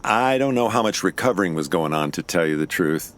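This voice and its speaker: An actor playing a laid-back, wry American lawyer and amateur sleuth in an audio drama, talking in a casual, understated way. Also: male voice, low voice, lively intonation, a little fast, a bit bright. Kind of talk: audio drama dialogue and narration.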